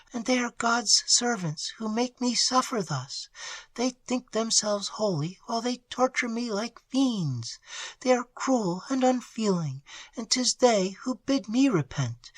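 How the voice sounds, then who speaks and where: A woman's voice: on the high side, no echo. A woman voicing a starving young woman held captive in a dungeon, speaking weakly and pleadingly in a dramatized audiobook. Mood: despairing. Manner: pleading, weak, then frantic with hope.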